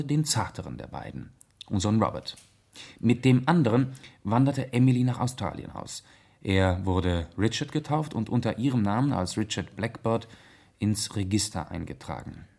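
An actor playing a gentleman detective in a 1970s radio play, reasoning calmly in with measured, deliberate pauses. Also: on the low side. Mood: narrating, wry.

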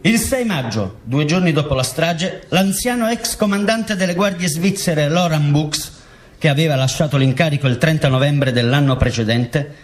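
High-pitched, lively male theatre narrator. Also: normal speed. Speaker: narrator, stage actor. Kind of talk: scripted documentary theatre narration.

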